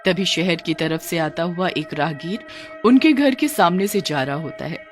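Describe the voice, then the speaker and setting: A woman's voice: on the low side, animated, normal speed, faint music behind. A woman narrating a scripted cartoon horror story as its storyteller.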